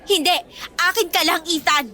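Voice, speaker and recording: A woman acting a jealous, spiteful teenage girlfriend in a Filipino radio drama, confrontational and sharp-tongued. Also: very high voice, normal speed. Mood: spiteful, possessive.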